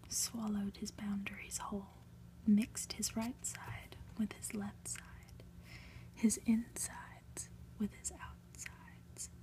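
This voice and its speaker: A woman reads a storybook in a hushed ASMR whisper. She takes her time at a slow pace, letting phrases linger with soft, deliberate pauses between them. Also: mid-range voice, rough recording. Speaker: ASMR reader, channel host.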